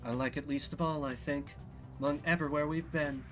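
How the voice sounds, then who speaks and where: A man's voice: on the high side, steady tone, a little fast, soft background music, lightly acted. A man voicing a world-weary winged harpy woman in a fantasy game, with a wry, guarded, drawling delivery. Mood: wistful, uneasy.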